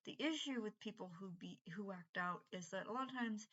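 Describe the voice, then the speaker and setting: A woman's voice: medium pitch, a bit bright. Rambling middle-aged woman, lo-fi sound. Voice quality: unusual voice from breathing troubles. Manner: rambling, halting, many fillers and restarts. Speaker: vlogger. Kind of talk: casual rambling monologue.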